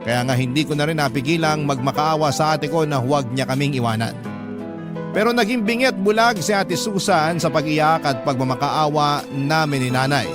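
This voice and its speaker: Actor as a sad young guy telling his life story, radio drama, highish voice, loud music underneath. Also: normal speed, close to an everyday voice. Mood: sorrowful. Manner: heartfelt storytelling. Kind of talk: emotional first-person radio drama narration.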